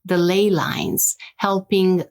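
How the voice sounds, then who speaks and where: A woman's voice: on the low side, steady tone, takes it slowly, darkish tone. A woman with a Romanian accent, a hypnosis practitioner explaining her work as an interview guest and tagging her points with "right?".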